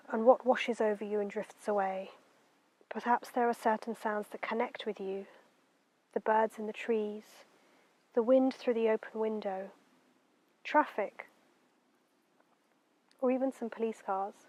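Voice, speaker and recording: A woman guiding a meditation in a gentle, unhurried, soothing way, letting her phrases end softly. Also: fairly high pitch, fairly even intonation, no room sound. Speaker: meditation guide. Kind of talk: guided meditation narration.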